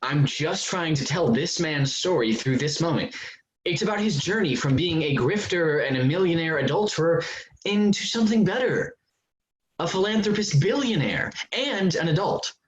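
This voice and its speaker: A man's voice: on the high side, expressive, moderate pace, lightly acted. A man playing a smug, defensive amateur novelist in a staged play reading. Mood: defensive, earnest. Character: smug, self-justifying male amateur novelist, defensive about his sleazy book. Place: remote virtual staged reading. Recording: dull, noisy online call audio.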